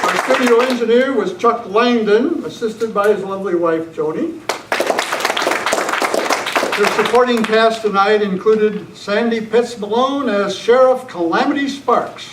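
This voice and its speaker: Noisy echoey room w/ crowd, high-voiced guy doing old time radio announcer credits. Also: measured pace. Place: club meeting room. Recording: echoey distant room, loud noise and crowd. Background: audience noise.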